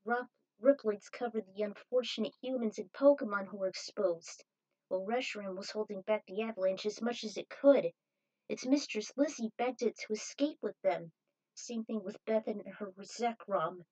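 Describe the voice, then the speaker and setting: A woman's voice: medium pitch, normal speed, darkish tone, dull audio. A woman reading a scripted adventure story aloud as narrator, in a dramatic manner.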